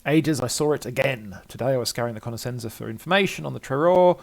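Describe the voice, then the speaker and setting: Man voicing an anxious, gravelly, muttering scholar. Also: medium pitch, lively intonation, quick pace. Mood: fretful, haunted. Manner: rambling, self-repeating, fretful. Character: anxious, muttering male scholar doubting his own sanity. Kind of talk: dramatic reading of game journal entries.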